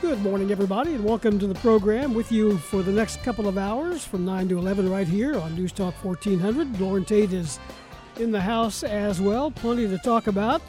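A man's voice: high voice, a little fast, a little mellow, soft background music, low-quality recording. A man with an American accent hosting a sports talk radio show. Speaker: radio host.

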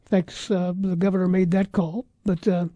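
Male radio sports host, deeply mellow timbre, casual measured delivery, dry studio sound. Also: little pitch movement, no echo, lo-fi sound.

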